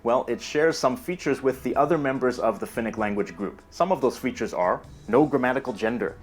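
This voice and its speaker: Guy reading out an explainer script, steady and clear, faint music under it. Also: medium pitch, a little fast. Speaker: narrator of a language explainer video. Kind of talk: scripted educational narration.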